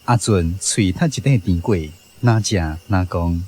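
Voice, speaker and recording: A man's voice: deep voice, unhurried, darkish tone, breathy edge. A man with a Taiwanese accent narrating a radio drama.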